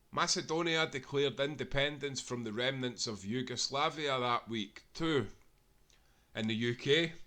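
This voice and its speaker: A man reading a football history book aloud in a steady, measured way, speaking as a proud supporter of his club. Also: mid-range voice. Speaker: audiobook reader.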